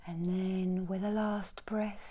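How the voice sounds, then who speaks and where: Very slow, whispery woman guiding meditation. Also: medium pitch, flat delivery, dull audio, slight background noise. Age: middle-aged. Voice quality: breathy, often whispered. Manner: soft, slow, soothing delivery with long pauses. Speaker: reiki healer and meditation guide. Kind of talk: ASMR guided meditation. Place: small indoor room.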